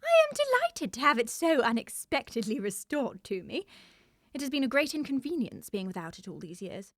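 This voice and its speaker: A prim, fussy governess in a comic radio play. Her high voice swings widely as she pronounces every formal phrase with flustered melodrama. The sound is close and dry. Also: big pitch swings, moderate pace, no room sound. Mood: pleased, fussy. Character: prim, flustered, unmarried older governess, confessing precisely. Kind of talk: radio play acting, period comedy.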